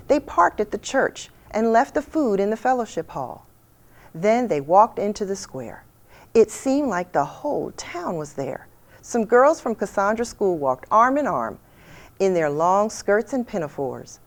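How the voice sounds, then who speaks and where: A woman reading a children's picture book aloud with expressive storytelling delivery. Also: medium pitch. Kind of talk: storybook read-aloud narration.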